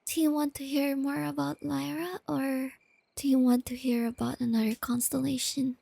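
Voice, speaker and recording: Female actor as timid, bookish young girlfriend for ASMR roleplay; soft and affectionate, creaky edges, close dry sound. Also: sits high, level tone, no room sound. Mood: dreamy, earnest. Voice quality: soft, breathy, often whispery. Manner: hesitant, tender, halting. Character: shy, nerdy, self-doubting young girlfriend, gentle and affectionate.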